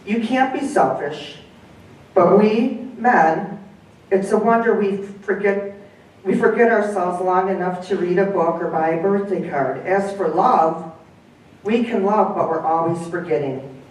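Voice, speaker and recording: A woman's voice: on the low side, a little slow, a little mellow, lightly acted. Woman voicing a weary widower, rueful, conceding, far from mic, echoey. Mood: reflective. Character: rueful middle-aged widower, gruff, regretful.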